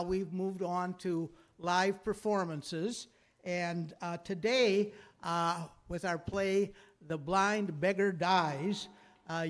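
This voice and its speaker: A man giving an informal, hesitant, lightly humorous welcome as host of a live radio play. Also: high-pitched. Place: live before an audience.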